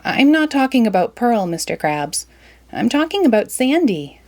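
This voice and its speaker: A woman voicing an excitable young male cartoon sponge, high, eager and bubbly. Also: moderate pace.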